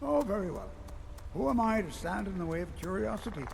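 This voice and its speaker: An actor playing a haughty older court wizard in a fantasy game, speaking in a dry, condescending way. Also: male voice, high voice, brisk, gravelly, crowd noise, heavily acted. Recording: steady background noise.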